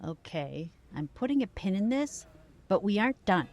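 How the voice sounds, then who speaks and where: A woman's voice: medium pitch, sing-song, moderate pace. A woman playing a concerned, questioning girlfriend in a supernatural audio drama, earnest and probing. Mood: uneasy.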